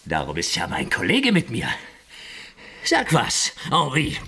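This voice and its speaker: An actor playing a hard, obsessive police inspector in a radio crime drama, raspy, barking and sarcastic. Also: male voice, medium pitch, wildly swooping pitch, a little slow, pushed and bright, heavily acted. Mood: bitter, gloating.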